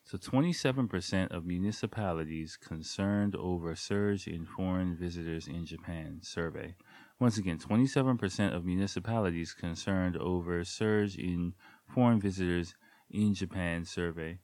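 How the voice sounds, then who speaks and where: A man's voice: sits low, normal speed, darkish tone, no room sound. A man hosting his own podcast in loose, rambling casual talk, with a stuffy nose from a cold. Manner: rambling, loose, with many ums and jokey sound effects. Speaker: podcast host. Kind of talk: casual solo podcast chat. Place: at home, late at night.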